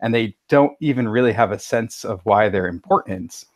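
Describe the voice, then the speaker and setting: A man's voice: fairly low pitch, moderate pace, no room sound, studio quality. A man speaking earnestly and supportively as a panelist in a casual podcast discussion.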